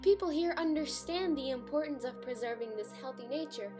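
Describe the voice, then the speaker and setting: Female student narrator, teens, fairly high voice, scripted read under prominent music. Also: a little fast.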